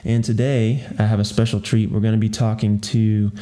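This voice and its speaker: A man with a low, dark, mellow voice hosts a casual interview podcast. He speaks in a relaxed way and keeps affirming his guest with soft murmurs. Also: moderate pace. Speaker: podcast host.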